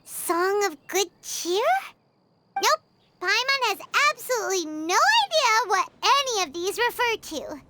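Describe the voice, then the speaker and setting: A woman voicing a tiny, childlike fairy companion in a video game, squeaky, perky and cheeky. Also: sing-song, a little slow, very noisy, exaggerated voice. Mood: puzzled. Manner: perky, refers to self in third person. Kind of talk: animated video game character acting. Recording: loud music behind the voice.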